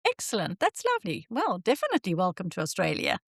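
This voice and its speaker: A woman's voice: on the low side, huge pitch swings, quick pace, breathy edge, no room sound, clean studio sound. An older woman from Zimbabwe with a Zimbabwean accent, hosting a casual interview chat with enthusiasm and frequent laughter. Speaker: podcast host.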